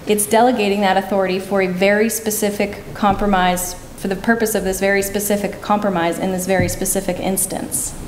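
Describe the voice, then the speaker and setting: A woman serving as a town's legal counsel, speaking carefully and with measured restarts at a public board meeting. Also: medium pitch, flat delivery. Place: town meeting hall. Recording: echoey hall, faint noise.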